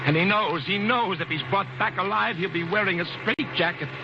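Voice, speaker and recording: Through a muffled, noisy old radio broadcast comes an impatient windbag of a man. His voice is fairly high and agitated, stammering and running on at length. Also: brisk. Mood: intent, theorizing. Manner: agitated, long-winded, stammering. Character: nervy, impatient, windbag male bus passenger. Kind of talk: 1940s radio thriller acting.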